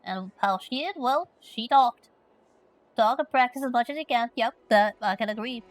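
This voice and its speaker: A woman's voice: a little high, moderate pace, no echo, low-quality recording. A woman from Finland streaming a story game, chatting playfully and reading questions aloud.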